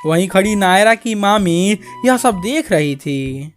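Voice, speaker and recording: A woman's voice: mid-range voice, animated, slow pace, faint music behind. A woman reading scripted narration for a cartoon story.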